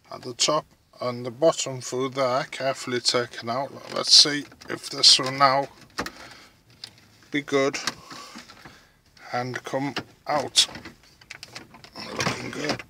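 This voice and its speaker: Male DIY vlogger, bright forward voice, casual and halting delivery, light noise on a handheld phone. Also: medium pitch, steady tone, creaky. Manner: halting, thinking aloud while working. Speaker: vlogger narrating a DIY car radio install. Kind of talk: casual hands-on video commentary. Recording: noisy handheld phone recording with clicks.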